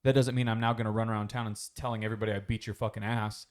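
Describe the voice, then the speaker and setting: A man's voice: fairly low pitch, little pitch movement, very fast, darkish tone. Rambling, foul-mouthed podcast co-host man. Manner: rambling storyteller, swears freely, acts out quotes. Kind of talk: casual podcast chat.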